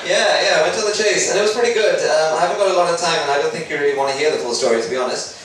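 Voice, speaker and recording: A man's voice: on the high side, racing, a crowd in the background. A man from Sri Lanka doing a conversational, self-deprecating stand-up comedy routine. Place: indoor live comedy event. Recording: very echoey room, distant mic, background noise.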